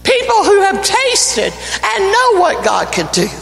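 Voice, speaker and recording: A man with a North Carolina Southern accent, an evangelist praying and preaching a fervent sermon that builds to shouting in a church. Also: very high voice, wildly swooping pitch, a little slow, gravelly. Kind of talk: sermon with opening prayer. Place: church sanctuary. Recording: church hall reverb, faint music.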